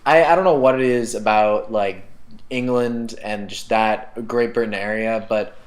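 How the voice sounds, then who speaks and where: A man's voice: fairly low pitch, measured pace. Rambling young man in a casual chat. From South Africa. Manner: rambling, full of fillers and restarts, casual swearing. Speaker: podcast co-host.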